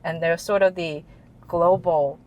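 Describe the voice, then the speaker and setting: A middle-aged woman from Taiwan, answering interview questions in a relaxed way, often restarting sentences and joking. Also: fairly low pitch, unhurried, rough recording. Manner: hesitant, restarts often, jokes and laughs. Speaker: guest, tech executive. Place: office in Shanghai.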